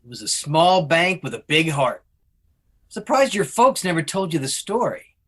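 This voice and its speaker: A man plays an older, plainspoken businessman with a bright, fairly high voice. He is friendly and folksy, delivering scripted lines from a stage musical. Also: big pitch swings, moderate pace, slightly rough, heavily acted. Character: friendly older businessman, grandfather and longtime bank customer. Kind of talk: musical theatre table read.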